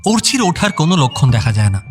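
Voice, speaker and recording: A man's voice: a little high, big pitch swings, fast talker, faint music behind. A man narrating an audio story in a scripted, dramatic style.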